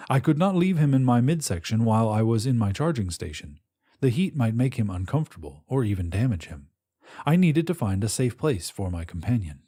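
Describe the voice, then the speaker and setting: A man narrates a short story in the first person as an audiobook reader. His voice is mellow and mid-pitched, with plenty of movement in the intonation. The delivery is measured and precise, with a gentle storytelling touch.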